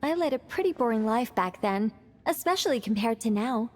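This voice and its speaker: A woman's voice: on the high side, animated, brisk, darkish tone. A woman voicing an ageless female mage in a game cutscene, a fallen angel who tells stories in a theatrical, musing, self-assured way with wry wistfulness. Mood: musing, wistful. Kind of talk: scripted game cutscene dialogue. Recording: background music.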